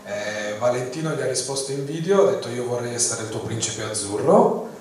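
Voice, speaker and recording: A man's voice: medium pitch, animated, a little fast, darkish tone. A man telling an anecdote in short bursts at a festival evening. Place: cinema hall. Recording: echoey hall, distant mic.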